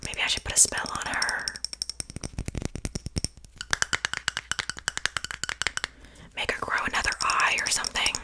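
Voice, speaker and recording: A performer voicing a chatty witch in a soft, breathy ASMR whisper, gossipy and casually menacing in a roleplay. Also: lightly acted. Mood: catty, gossipy. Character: gossipy witch with fae blood, cooking her guest.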